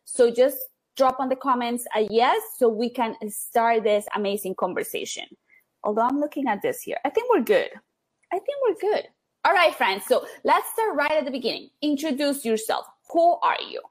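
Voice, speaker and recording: A woman's voice: sits high, animated, normal speed. A woman hosting a live stream, upbeat and enthusiastic as she greets viewers and asks questions. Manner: upbeat, enthusiastic, laughs often.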